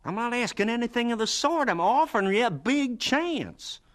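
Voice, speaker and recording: An actor playing a gruff but kindly farmer and boss in a radio drama, blunt, folksy and emphatic. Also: male voice, very high voice, animated, moderate pace, fairly bright tone. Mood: exasperated.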